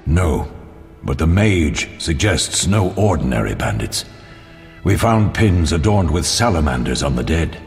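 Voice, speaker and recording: Grave old warrior mentor, low voice. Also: male voice, very animated, a little slow, gravelly, a little mellow, full character voice. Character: grave old male mentor of monster hunters, steady, fatherly. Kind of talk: dramatic video game dialogue. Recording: long hall reverb with music behind.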